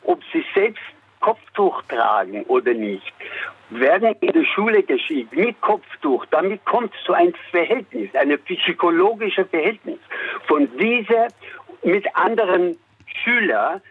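Caller, man from Iran, slow and husky over a tinny phone line. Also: sits high, expressive. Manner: halting, emphatic, many fillers. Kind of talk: call-in opinion.

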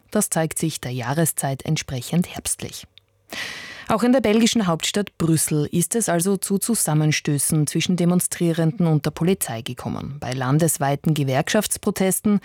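A woman reading a radio news bulletin in a measured, even way. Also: low-pitched, dry recording, clean studio sound. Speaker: news anchor.

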